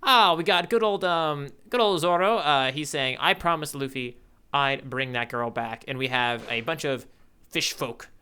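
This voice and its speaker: Male podcast co-host, higher-pitched, crisp and bright, enthusiastic casual delivery. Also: normal speed. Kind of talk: casual manga discussion.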